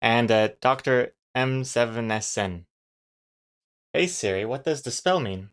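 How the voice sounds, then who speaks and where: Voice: medium pitch, expressive, unhurried, clear, resonant tone. Casual, rambling livestreamer with a mixed accent. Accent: mixed, not quite New Zealand. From New Zealand. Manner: rambling, thinking aloud. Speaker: comic artist livestreamer. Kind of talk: casual livestream chat with viewers.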